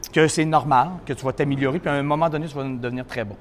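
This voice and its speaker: A man's voice: medium pitch, steady tone, fast talker, a little hiss or hum. Quebec French host who echoes his guest. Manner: chimes in, repeats and sums up the guest's points. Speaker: host, coach. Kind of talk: casual interview chat.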